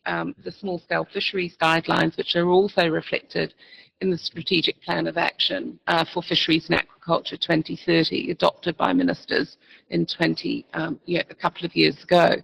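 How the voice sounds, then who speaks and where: High gravelly man, halting and formal. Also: little pitch movement, a bit bright, poor audio. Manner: halting, many ums and restarts, formal policy wording. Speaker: panelist. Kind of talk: panel discussion.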